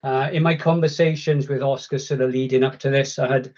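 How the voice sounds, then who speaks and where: A man's voice: medium pitch, fairly even intonation, a little fast, a little mellow, muffled recording. A man with a Welsh accent giving a chatty, self-deprecating guest talk on a video call. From Wales. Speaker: guest speaker. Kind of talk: online conference presentation.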